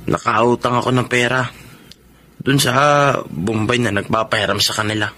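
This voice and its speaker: A man's voice: lowish voice, steady tone, normal speed, sharp tone. A man with a Filipino accent playing a young, sheepish yet cocky gambling little brother in a radio drama. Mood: sheepish, guilty.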